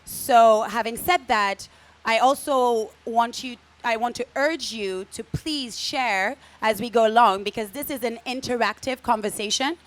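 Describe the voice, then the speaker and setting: A woman's voice: fairly high pitch, measured pace. A woman hosting a live interview event, welcoming the audience and stumbling over and repeating words as she goes. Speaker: host.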